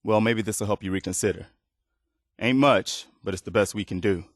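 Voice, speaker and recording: Casting: male actor as weary, earnest male militia leader for a video game; lowish voice, close dry sound, sincere and plain-spoken. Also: moderate pace, dry recording, close to an everyday voice. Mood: sincere, grateful. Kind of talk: video game dialogue acting.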